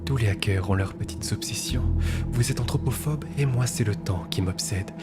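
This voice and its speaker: A man acting in a dubbed TV thriller as a cold, condescending, time-obsessed hacker leader, speaking in a breathy, hushed, precise and formal way. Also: fairly low pitch, moderate pace, natural voice. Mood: haughty, precise. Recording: loud music under the voice.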